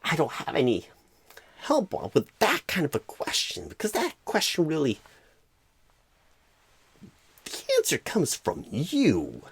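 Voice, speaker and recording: A man voicing a clueless, bumbling job recruiter in an ASMR roleplay, earnest and scatterbrained, with halting, oddly stressed phrasing and a husky, breathy voice. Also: on the high side, no echo, heavily acted. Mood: earnest pep talk. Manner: halting, oddly stressed phrases in a Christopher Walken-style impression.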